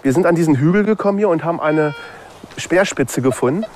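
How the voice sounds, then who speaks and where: An amateur male detectorist recounts things casually in an interview. His pitch is on the high side, and faint ambient noise sits behind him. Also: lively intonation, brisk, darkish tone.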